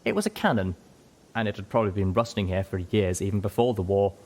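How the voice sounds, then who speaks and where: A man reading a first-person story aloud as an expressive scripted narration. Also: fairly low pitch, fast talker.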